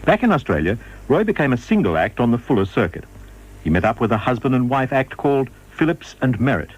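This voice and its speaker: A man reading scripted radio documentary narration in a measured, formal manner. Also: medium pitch, sing-song, slightly rough, rough recording.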